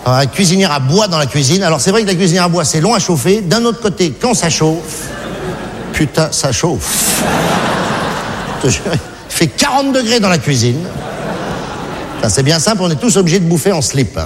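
Animated high male stand-up comedian. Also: moderate pace, long pauses, crowd noise. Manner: lively storytelling, mock complaints. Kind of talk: observational stand-up monologue. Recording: live hall recording aired on radio.

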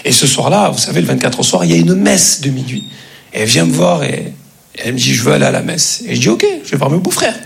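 Male stand-up comedian, very creaky voice. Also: a little high, quick pace. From France. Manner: animated anecdotes with acted-out dialogue. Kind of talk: stand-up comedy storytelling to an audience.

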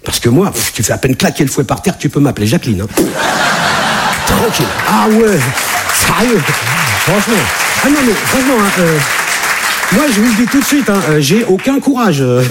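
A man doing a stand-up comedy set on a club stage, animated, with big jumps in voice for punchlines. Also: moderate pace, over a noisy crowd, very noisy. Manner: animated, jumps high for punchlines and acted-out quotes. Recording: live club audience laughter. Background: audience laughter.

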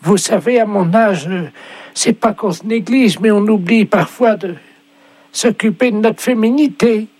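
A man's voice: high voice, a little slow, darkish tone, husky, dry recording. A man voicing a hard-of-hearing, chatty and stubborn old lady in a radio prank phone call, fussy and sweetly condescending. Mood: coy, confiding.

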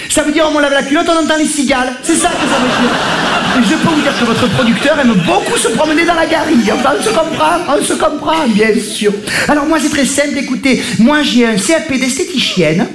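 A scatterbrained young woman contestant with a southern French accent, as a man puts her on, giggling and rambling in a high voice. The recording is roomy, with loud ambient noise behind it. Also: a little fast. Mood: giggly. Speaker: game show contestant. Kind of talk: comedy sketch.

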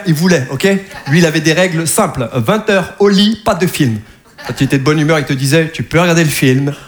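High-voiced man doing stand-up. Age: 40s. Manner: lively, teasing the crowd, frequent asides. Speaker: stand-up comedian. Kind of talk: stand-up routine on stage. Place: comedy venue with live audience. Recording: live stage recording with audible room noise. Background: audience laughter.

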